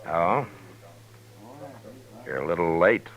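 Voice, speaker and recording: Very deep-voiced, laconic frontier marshal. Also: male voice, slow pace, exaggerated voice. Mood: dry, pointed. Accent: American. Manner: laconic, deadpan. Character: stern, dry frontier US marshal, grown man. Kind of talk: 1950s radio western acting and narration. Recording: dull 1950s radio recording, music behind.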